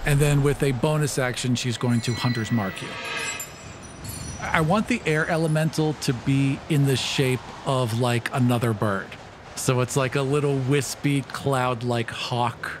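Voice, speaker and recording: A man's voice: medium pitch, a little slow, background noise. A man talking casually as a player in a tabletop role-playing game.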